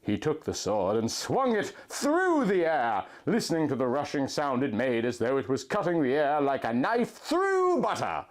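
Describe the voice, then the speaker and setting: A man's voice: fairly high pitch, wildly swooping pitch, normal speed, few pauses. A man reading a fan story aloud as host, with a mock-dramatic storybook delivery.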